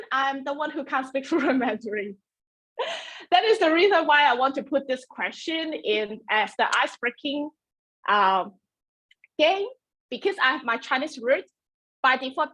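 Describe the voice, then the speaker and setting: Woman, Cantonese native, webinar host, chatty, self-deprecating, many fillers, fairly high voice, computer mic. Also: fairly bright tone, poor audio. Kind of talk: presenting a webinar.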